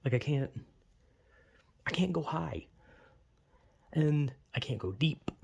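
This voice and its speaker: Young guy rambling on his vlog, slight lisp, says like a lot, kinda gravelly. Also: fairly high pitch, animated, takes it slowly. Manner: self-deprecating, filler-heavy with lots of "like". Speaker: vlogger. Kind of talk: casual rambling monologue.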